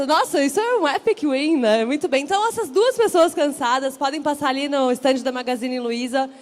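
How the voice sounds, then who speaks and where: Woman, high voice, São Paulo accent, event host, upbeat, chatty with the crowd. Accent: São Paulo Portuguese. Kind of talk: live stage hosting. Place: stage at a large event.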